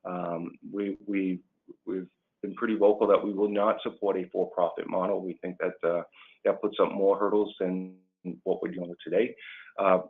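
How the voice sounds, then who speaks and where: Male union leader, low register, earnest and emphatic through repetition, webinar guest, thin telephone-grade audio. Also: level tone, moderate pace. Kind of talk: prepared remarks and answers on a policy webinar. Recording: tinny phone-like line.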